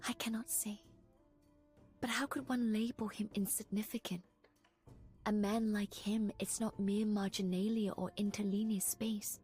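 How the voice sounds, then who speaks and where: Soft-spoken, slow, scholarly young woman. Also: medium pitch, slightly rough. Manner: measured, formal, delicate phrasing. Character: gentle scholarly young woman, refined, devoted assistant and chronicler. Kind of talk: scripted game character voice lines, close to ASMR.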